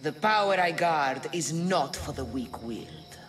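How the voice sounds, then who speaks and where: A woman's voice: low voice, lively intonation, a little slow, a little hiss or hum. A woman voicing an ancient, imperious female Sphinx in a video game, reciting grandly and tauntingly. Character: ancient riddling Sphinx, imperious female monster guarding power. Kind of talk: video game character acting.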